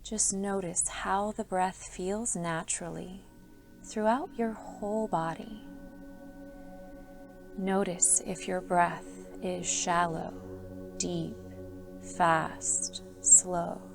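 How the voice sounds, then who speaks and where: A woman yoga instructor leading a guided sleep meditation, giving soft, soothing instructions with calm pauses. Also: lowish voice, vocal fry. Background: soft background music.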